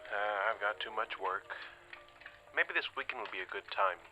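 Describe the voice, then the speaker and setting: A man playing a mild-mannered, sceptical astronomer in an audio drama, speaking in a measured way. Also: fairly low pitch, loud music behind the voice, close to an everyday voice, low-quality recording. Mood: warm, content. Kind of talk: modern audio drama acting with spoken log entries.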